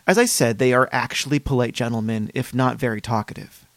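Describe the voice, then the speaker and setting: A man voicing a fussy, polite, unsettled psychiatric patient in a measured reading of a first-person horror story. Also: mid-range voice, a bit bright, dry recording, lightly acted. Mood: musing, polite.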